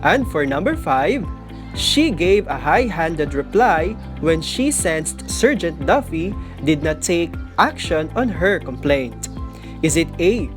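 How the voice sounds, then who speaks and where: A woman's voice: low voice, big pitch swings, takes it slowly. A woman teacher giving scripted, instructional narration for a video lesson. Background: music.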